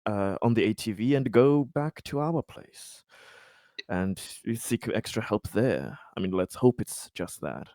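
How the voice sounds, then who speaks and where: A man playing a tabletop game, talking through the situation in deliberate, rather formal wording. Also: fairly low pitch, expressive, warm tone, no room sound, studio quality. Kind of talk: casual in-game discussion.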